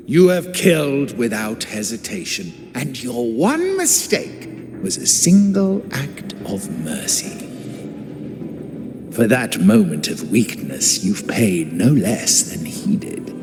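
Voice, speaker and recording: A man's voice: on the low side, exaggerated voice. An actor playing a mocking, cryptic male acolyte villain in a video game cutscene, theatrical and taunting. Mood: ominous. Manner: theatrical, taunting, swooping delivery. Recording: reverberant game audio with loud music.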